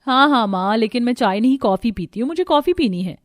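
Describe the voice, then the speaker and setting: A woman's voice: a little high, animated, brisk, natural voice. A woman voicing a spoiled, demanding young newlywed daughter in a cartoon, whiny and entitled.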